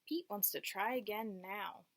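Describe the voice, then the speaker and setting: A woman's voice: mid-range voice, unhurried. A woman reading a picture book aloud to young children, teacher-like, in simple phrases and checking in with questions.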